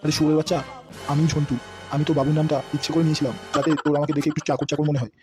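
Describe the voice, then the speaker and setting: A scheming cartoon servant, acted out by a man, sly and foul-mouthed. He grumbles in a flat tone at a very quick pace. Music plays clearly behind him. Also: a little high, lightly acted. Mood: defensive, irritated. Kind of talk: comedy cartoon dialogue.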